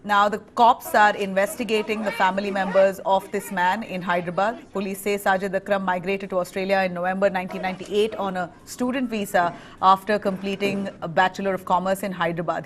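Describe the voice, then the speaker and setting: A woman with an Indian accent reading a live news bulletin as anchor, in a clear, firm delivery. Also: mid-range voice, fairly bright tone.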